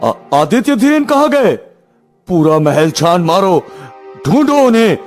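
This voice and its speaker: A man voicing an agitated, commanding king and protective father in a cartoon fairytale, giving urgent, forceful lines. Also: high voice, big pitch swings, a little slow, faint music behind, voice pushed up. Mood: panicked.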